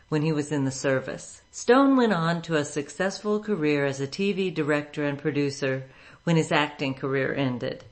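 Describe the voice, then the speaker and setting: A woman radio host reading a scripted introduction to a classic show. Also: sits low.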